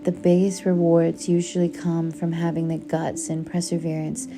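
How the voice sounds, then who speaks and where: A woman reading a book aloud in a soothing audiobook style, with measured, deliberate and gentle delivery over music. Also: lowish voice, little pitch movement. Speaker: book reader. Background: background music.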